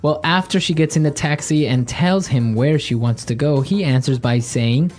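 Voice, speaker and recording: Mellow male lesson host. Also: a little high, normal speed, soft background music. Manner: repeats phrases and asks checking questions. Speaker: podcast co-host, English teacher. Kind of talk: conversational vocabulary lesson.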